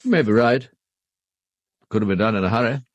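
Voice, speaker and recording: An actor playing a formal, upper-class British knight in a radio drama, speaking in a clipped, matter-of-fact way. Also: male voice, mid-range voice, expressive, brisk, dry recording, highs cut off, natural voice. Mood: grave, explaining. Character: formal upper-class husband, a titled knight hiring a detective.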